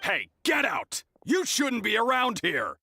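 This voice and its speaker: A man's voice: very high-pitched, huge pitch swings, a little slow, vocal fry, over music, full character voice. A man voicing a stern, protective male guard in a video game, barking orders. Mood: angry. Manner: barking orders, then deferential.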